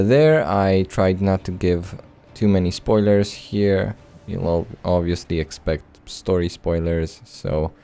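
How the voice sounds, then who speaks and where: Male let's play host, casual commentary, low and mellow. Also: vocal fry. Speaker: gaming video host. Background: game music.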